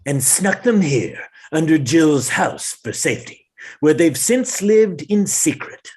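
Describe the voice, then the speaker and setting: A man's voice: a little high, very animated, slow pace, a little mellow, breathy edge, full character voice. A man playing an earnest talking animal who solemnly interprets and tells stories at a comedy table read.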